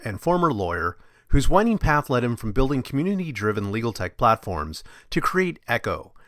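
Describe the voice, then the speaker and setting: A man's voice: medium pitch, a bit bright, no echo, clean studio sound. A man reading a scripted introduction for a podcast episode in an announcer-like way.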